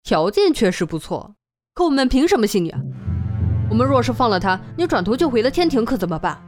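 Suspicious immortal huntress, shrewd, brisk pace, animated intonation, audio drama. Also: female voice, a little high, faint music behind. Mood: suspicious, bargaining. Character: shrewd, suspicious female immortal demon hunter. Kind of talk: Chinese audio drama acting.

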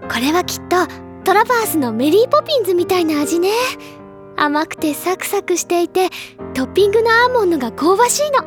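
A woman playing a cheerful, dreamy teenage schoolgirl who loves literature, expressive and teasing, in a Japanese audio drama. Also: very high-pitched, fast, over music. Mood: dreamy delight.

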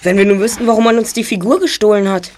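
A woman's voice: medium pitch, fast talker, a little mellow, lightly acted. A woman playing a decisive, self-assured teenage boy detective in an audio drama. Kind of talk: hobby audio drama acting.

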